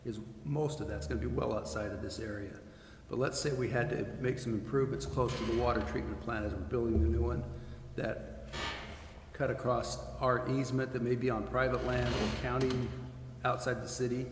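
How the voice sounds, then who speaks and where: Gravelly man in a noisy, echoey chamber. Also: mid-range voice, fairly even intonation, fairly quick. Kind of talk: informal explanation at a public meeting. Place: large echoey meeting room, council chambers. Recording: echoey hall with clear background noise.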